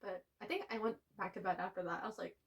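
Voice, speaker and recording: Young woman, podcast co-host, animated storytelling, mid-pitched voice. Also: fast talker, slight background noise. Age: young adult. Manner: animated storytelling with frequent laughs. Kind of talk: casual chat.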